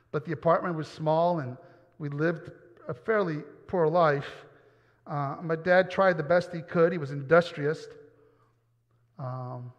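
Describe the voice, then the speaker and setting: A man from New York giving a personal testimony sermon in a church, earnest and often repeating words as he goes. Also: on the high side. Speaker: pastor. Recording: dull sound with long hall reverb.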